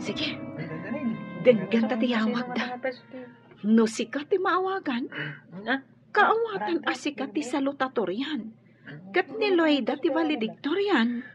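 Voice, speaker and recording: A woman playing a caring, animated young classmate in a radio drama. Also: sits high, takes it slowly, long pauses, dull audio, over music. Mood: encouraging.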